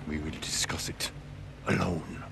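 A stern middle-aged samurai lord. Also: male voice, rumbling low, level tone, measured pace, creaky, very noisy, exaggerated voice. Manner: commanding and stern. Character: stern older samurai lord, rigid about honor. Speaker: game character. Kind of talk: video game cutscene acting. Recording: game soundtrack music behind.

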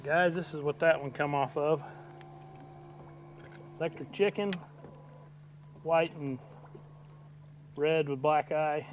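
A man's voice: on the high side, slow pace, pauses often. Folksy middle-aged man fishing from a boat. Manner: folksy, excited bursts while landing fish. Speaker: fishing vlogger. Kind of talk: casual fishing commentary. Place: small boat on open lake, outdoors. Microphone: wide-angle camera mounted at the boat's stern. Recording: outdoor boat recording with wind and water noise.

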